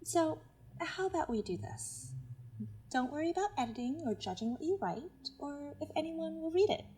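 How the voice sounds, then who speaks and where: High-voiced, controlling woman mentor. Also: expressive, moderate pace, warm tone. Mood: pressing, insistent. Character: controlling, patronizing female self-help group mentor. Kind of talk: naturalistic audio drama acting.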